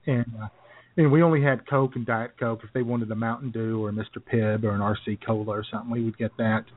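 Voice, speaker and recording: A man's voice: mid-range voice, fairly even intonation, quick pace. A man co-hosting a talk show, telling casual comic anecdotes in easy banter and often chuckling as he talks. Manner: chuckles often while talking. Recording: thin phone-like lo-fi sound.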